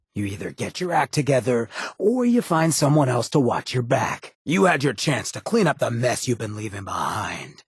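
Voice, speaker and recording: A male actor voicing a roguish, streetwise ghoul companion in a video game, blunt but loyal, with a gravelly rasp and colloquial speech. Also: lowish voice, no room sound, clean studio sound, exaggerated voice. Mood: stern, angry. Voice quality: raspy, gravelly. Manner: streetwise, colloquial, swearing drawl. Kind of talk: video game dialogue acting.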